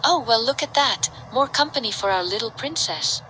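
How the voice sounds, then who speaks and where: A woman plays a small sinister candy creature from a cartoon horror tale. The voice is bright and sharp, sugary and taunting, possessive of its captive, with faint noise behind. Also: on the high side, lively intonation, normal speed, voice pushed up, poor audio. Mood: mocking.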